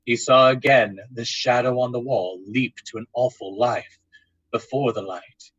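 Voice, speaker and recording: A man's voice: mid-range voice, fairly even intonation, measured pace, a bit bright, husky, dry recording, highs cut off. A man narrating a scripted drama in the dramatic manner of old-time radio.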